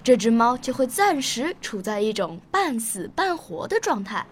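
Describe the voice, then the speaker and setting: A woman's voice: a little high, very animated, normal speed, husky. An actress playing a young, curious, bookish schoolgirl in a Chinese radio drama. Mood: curious, earnest.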